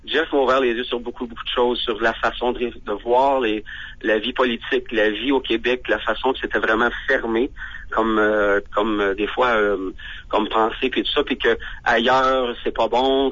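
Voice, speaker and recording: A man speaks casually as a radio guest. His pitch sits in the middle of the range and he rambles while searching for words. The sound is dull and lo-fi, like a muffled radio line. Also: a bit bright. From Ontario.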